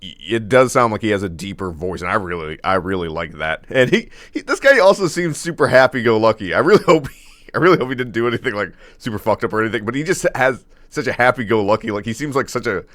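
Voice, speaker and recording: A man with a deep baritone voice reacting casually to a song, laughing often and rambling with fillers and restarts. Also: sing-song, fast talker, fairly bright tone, no room sound. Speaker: music reaction host.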